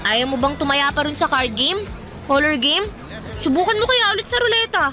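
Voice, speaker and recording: A carefree young woman, high-pitched, bubbly and teasing. Her voice sits over background music and a fairground crowd. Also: normal speed, sharp tone. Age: young adult. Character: cheerful young woman, carefree, fair-loving. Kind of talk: radio drama acting. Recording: music and crowd noise behind.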